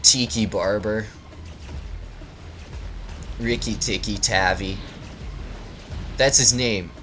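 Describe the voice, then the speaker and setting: A man improvising a mock fairy tale as commentary, with halting, theatrical narration. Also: fairly low pitch, steady tone, bright, forceful tone, faint music behind. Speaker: let's play commentator and storyteller.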